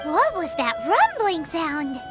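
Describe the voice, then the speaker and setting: A woman voicing a tiny, excitable, childlike fairy companion in a video game, cheeky and greedy. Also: slow, full character voice. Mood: sheepish. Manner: refers to self in third person, squeaky exclamations. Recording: loud music behind the voice.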